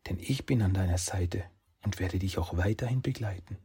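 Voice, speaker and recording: A man voicing a gentle, mystical female fox spirit, speaking softly and often in a whisper. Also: deep voice, normal speed, husky, full character voice. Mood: soothing, hushed. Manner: soft, hushed, often whispering.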